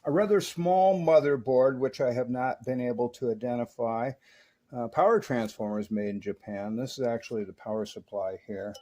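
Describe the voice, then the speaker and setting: A man's voice: medium pitch, moderate pace, mellow. Folksy man reviewing old radio gear. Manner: folksy, chatty, enthusiastic about gear. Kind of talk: casual hands-on product review.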